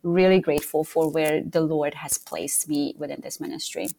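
A woman from Romania, a guest speaker for a ministry, talking in a measured, grateful way. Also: sits low, steady tone, warm tone. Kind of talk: interview conversation.

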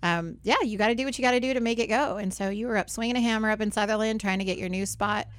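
A woman hosting a radio talk show in a studio, her voice hoarse and raspy, chatting casually, laughing often and tagging questions with "right?". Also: medium pitch, rapid-fire, no echo.

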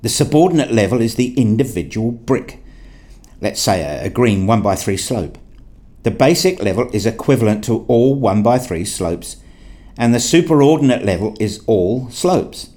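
A man's voice: medium pitch, lively intonation, normal speed, mellow, close to an everyday voice. A man playing a wry, bookish academic who narrates and talks in a radio play, with dry, wordy scripted delivery. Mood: pondering. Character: wry male academic narrating his own puzzled days. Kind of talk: scripted first-person narration and dialogue.

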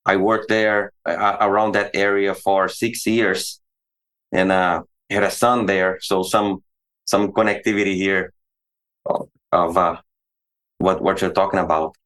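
A man in his forties from Brazil, speaking with a Brazilian Portuguese accent as a veterinary professor guest on an interview, talking in a halting way with many restarts and repeated words. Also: low-pitched, level tone, a bit bright. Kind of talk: conversational interview.

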